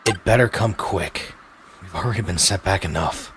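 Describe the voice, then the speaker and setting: A man voicing a gruff, impatient young cartoon tough guy who grumbles curtly. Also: sits low, moderate pace, noisy background, close to an everyday voice. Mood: irritated. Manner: curt, grumbling.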